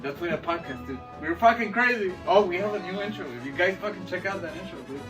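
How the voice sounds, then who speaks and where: A high-pitched male podcast co-host talks in a loose, slangy way, swearing freely and laughing often. He sounds a little away from the microphone, with music clearly playing behind him. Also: lively intonation, quick pace. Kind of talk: casual chat about movies and video games. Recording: distant mic with music behind.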